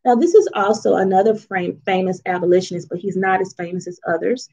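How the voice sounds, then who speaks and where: A woman reads a picture book aloud to children as its author, in an expressive, teacherly way, pausing to explain and ask questions. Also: medium pitch. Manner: teacherly, asks questions and checks in often.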